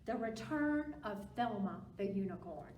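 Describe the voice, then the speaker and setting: Slow-speaking woman in a roomy space. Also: mid-range voice, lively intonation, darkish tone. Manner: simple, encouraging address to young children. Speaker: librarian storytime reader. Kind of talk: children's read-aloud, slow and expressive. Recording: roomy, distant mic with background noise.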